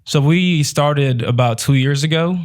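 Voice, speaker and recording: A young man from Nigeria, answering as a guest in a relaxed way with many fillers. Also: on the high side, measured pace. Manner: relaxed, many "um" and "you know". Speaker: guest, business owner. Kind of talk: casual interview answers.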